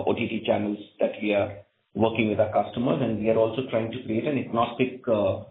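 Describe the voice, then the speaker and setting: Creaky man on an echoey phone line. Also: on the low side, slight background noise. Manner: frequent "right?" check-ins. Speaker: company executive answering analysts. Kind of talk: earnings call answers, explanatory business talk. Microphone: conference call line. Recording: phone-like narrow band, echoey conference line.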